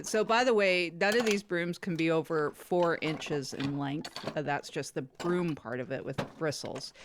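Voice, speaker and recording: A woman playing an awkward, unfiltered broom salesperson in improvised comedy, rambling haltingly in a matter-of-fact way. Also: deep voice, a little hiss or hum. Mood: deadpan, rambling. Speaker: product demonstrator on a comedy podcast.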